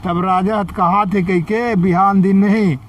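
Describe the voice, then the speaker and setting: A man's voice: high voice, steady tone, measured pace. A man with a Chhattisgarhi accent tells an oral folk story informally, in short phrases that leave room for a listener to respond. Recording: dull lo-fi, distant microphone.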